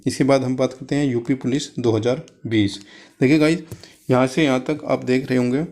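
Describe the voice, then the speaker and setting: A man speaks as a coaching presenter at a middle pitch. He explains things informally, one step after another, addressing students directly and dropping English terms into his sentences. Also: steady tone, a little fast, darkish tone.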